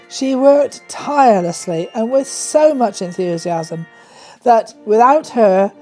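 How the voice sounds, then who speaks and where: A woman's voice: mid-range voice, dark tone. A woman reading scripted documentary narration.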